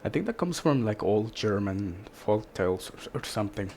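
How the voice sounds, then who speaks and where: A man's voice: lowish voice, lively intonation, moderate pace, dark tone, slight background noise. A man in casual reaction chat, commenting loosely as he listens.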